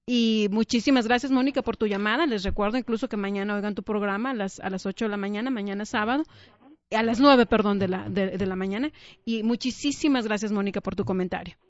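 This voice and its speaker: A woman's voice: mid-range voice, quick pace, no room sound. Woman radio host, dry muffled sound. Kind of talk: conversational live radio hosting. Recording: dull, dry radio recording.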